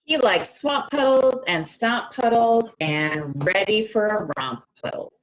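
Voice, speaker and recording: A woman reading a picture book aloud to children, expressive and stretching key words, often stopping to ask them questions directly, on a slightly dull lo-fi recording. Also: medium pitch, slow. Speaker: speech-language pathologist reading to children. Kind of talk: animated children's read-aloud with questions to listeners.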